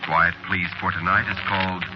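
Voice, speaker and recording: A man's voice: low-pitched, little pitch movement, normal speed, background noise. A man announcing a radio programme in a formal 1940s broadcast style. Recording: dull 1940s radio recording with loud music. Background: loud music.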